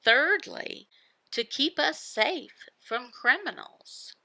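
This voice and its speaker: Woman doing a bible devotional, bright gravelly voice, short choppy phrases, asks questions then answers them. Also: high-pitched, expressive, slow, no room sound, poor audio. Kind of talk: devotional teaching voicing a signed sermon.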